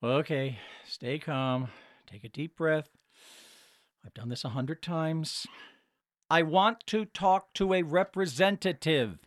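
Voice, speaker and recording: A man playing an exasperated, grieving adult son on a call to a cable company in an audio comedy, halting as he speaks. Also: on the high side, dry recording, clean studio sound.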